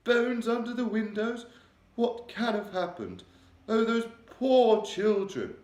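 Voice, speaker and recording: A regal old queen with a refined, upper-class way of speaking, put on by a male narrator. The voice sits high, swoops about and breaks into gasping exclamations. The recording has a roomy echo. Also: expressive, slow, darkish tone. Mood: alarmed, regal. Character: elderly queen, regal, shaken by a nightmare.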